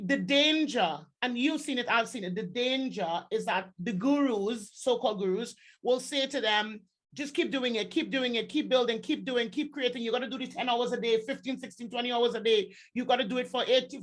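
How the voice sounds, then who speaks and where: A woman hosting a podcast, chatting casually in an emphatic, excitable way and often repeating her words. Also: a little high. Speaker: podcast host. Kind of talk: casual interview chat.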